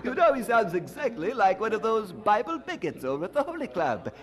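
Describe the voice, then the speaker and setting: Mocking young upper class student, drawly n affected, high voice, very animated, radio play. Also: male voice, a little fast, crowd noise. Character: mocking, idle young male Oxford gentleman student. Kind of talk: radio drama acting.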